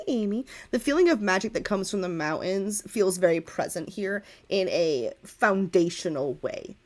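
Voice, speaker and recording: A woman with a slightly low voice acts as game master for a tabletop game. She improvises descriptions and addresses the players directly in a conversational way.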